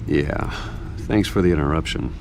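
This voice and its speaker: An actor playing a brooding, terse billionaire vigilante in a video game, gravelly and dryly sarcastic. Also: male voice, extremely low pitch, normal speed, noisy background. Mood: dry, guarded. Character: brooding billionaire vigilante detective, adult man, terse and dry.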